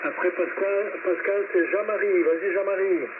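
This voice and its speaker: Male ham radio host, welcoming and conversational, on a narrow, clearly noisy transmission. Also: sits high, fairly even intonation, normal speed. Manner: courteous, welcoming. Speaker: amateur radio net host. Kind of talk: casual ham radio contact, calling on stations.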